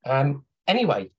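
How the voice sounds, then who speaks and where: A man's voice: mid-range voice, slightly rough. A man hosting a podcast, telling rambling, playful stories in casual chat. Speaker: podcast host.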